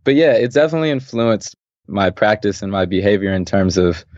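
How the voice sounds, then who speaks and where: Deep-voiced, calm man, dull dry audio. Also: a little fast, no room sound. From Los Angeles, USA. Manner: calm, thoughtful, laughs easily. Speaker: podcast guest, artist and designer. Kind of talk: relaxed interview conversation.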